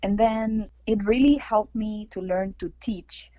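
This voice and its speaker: A woman's voice: mid-range voice, fairly even intonation, a little slow, mellow, no room sound, highs cut off. A young woman from Argentina, a tango dancer and teacher, answering interview questions casually, with lots of fillers. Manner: chatty, many fillers and like, you know.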